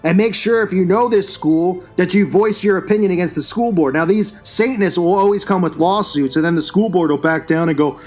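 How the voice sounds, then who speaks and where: A man's voice: high voice, fairly quick, hardly any pauses, darkish tone, faint music behind. A man hosting his own channel, delivering a combative, scornful religious commentary rant. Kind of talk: religious commentary rant over news clips.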